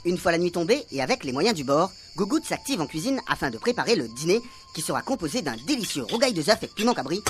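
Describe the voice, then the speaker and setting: A woman's voice: on the low side, big pitch swings, quick pace, hardly any pauses. A woman narrator reading a scripted radio serial in a mock-literary storytelling manner. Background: music.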